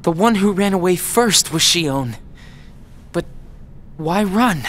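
An actor playing an earnest, headstrong, troubled teenage boy in a video game, with halting delivery. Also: high voice, animated, a bit bright, full character voice. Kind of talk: video game cutscene voice acting.

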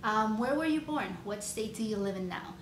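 A chatty woman in her thirties talks to the camera in a loose, rambling way, with plenty of ums and likes. Her voice sits at a middle pitch for a woman. The room sounds echoey, and a clear background hiss runs under her voice. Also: animated, moderate pace. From Chicago, United States. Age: 30s. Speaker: nail artist vlogger. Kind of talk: casual Q&A vlog. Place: home room with shelves, indoors.

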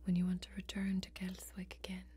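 Woman acting a whispery, mellow, kindly warrior woman. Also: fairly low pitch, monotone, natural voice. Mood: reassuring. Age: young adult. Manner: whispery, gentle, folksy, reassuring, slow with pauses. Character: kindly, protective woman warrior of a fantasy land. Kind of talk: soft-spoken ASMR fantasy role-play.

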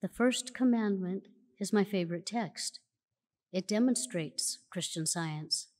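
A woman reading scripture aloud at a church lectern in a measured, solemn way, recorded in a large church auditorium. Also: medium pitch, darkish tone. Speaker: church reader. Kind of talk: slow formal scripture reading. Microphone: lectern mic from a far camera. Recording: long church hall reverb.

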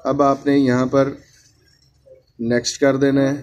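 A man's voice: mid-range voice, little pitch movement, measured pace, darkish tone. A man talking viewers through a phone repair step by step, repeating each instruction as his hands work. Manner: repetitive instructional phrasing, addressing viewers as friends. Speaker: phone repair tutorial host. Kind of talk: step-by-step hands-on tutorial narration. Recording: noisy home recording.

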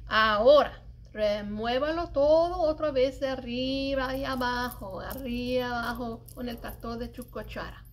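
A middle-aged woman reading a children's poem aloud in an expressive, singsong, deliberate way, as a story-time librarian. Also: fairly high pitch, a little hiss or hum. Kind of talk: animated read-aloud of a children's cooking poem. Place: indoors, public library children's area.

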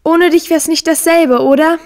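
A woman playing a friendly, upbeat teenage girl in a dubbed video game, with an earnest, expressive delivery. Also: extremely high pitch, moderate pace. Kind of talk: German video game dubbing.

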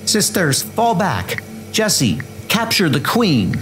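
A man's voice: sits high, wildly swooping pitch, takes it slowly, music in the background, voice pushed up, heavily acted. A man voicing a cold, formal female leader of a warrior sisterhood in a comic dub, speaking commandingly.

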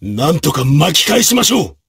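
An actor voicing a brave, martial samurai officer in a video game, with gruff, raspy, declamatory delivery in archaic period speech. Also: male voice, fairly high pitch, very animated, moderate pace, full character voice. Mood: grave. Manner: forceful, declamatory.

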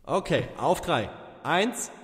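A man plays a sceptical, sharp-witted young detective in a parody audio drama, with a dry manner. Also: a little high, big pitch swings, takes it slowly, heavily acted. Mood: focused. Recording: long reverb, music behind.

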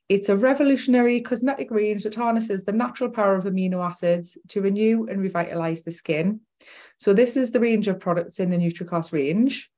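A woman's voice: mid-range voice, mellow. Geordie woman presenting a webinar. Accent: Geordie, Newcastle. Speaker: dentist presenting a product webinar.

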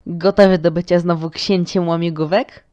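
A man's voice: on the high side, level tone, normal speed, a little mellow, clean tone, natural voice. A man voicing a carefree, easily bored little boy prince in a cartoon fan dub, with a blunt, playful, childish way of talking. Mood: casual. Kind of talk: fan-dub comic acting. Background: music.

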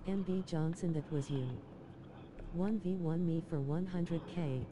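A woman's voice: on the low side, a little slow, muffled recording. A synthetic woman's voice mechanically reading out chat messages, spelling out abbreviations letter by letter. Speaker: text-to-speech chat reader. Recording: clearly noisy game-stream audio.

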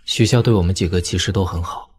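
An actor playing a weary, tender young lord and elder brother in an audio drama, speaking in a measured, reflective way. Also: male voice, deep voice, darkish tone, husky. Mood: tender, rueful. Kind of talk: audio drama dialogue in Mandarin wuxia serial.

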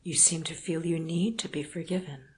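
A woman reading an audiobook, voicing a weary, restrained gentleman who speaks softly. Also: steady tone, moderate pace, natural voice. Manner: soft, low, restrained. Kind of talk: audiobook character voice.

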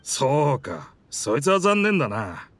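A man voicing a stranded talking boat with a sailor's spirit, rough in phrasing, hearty and wistful, in a Japanese anime game. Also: mid-range voice, big pitch swings, a little slow, fairly bright tone. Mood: hearty, curious.

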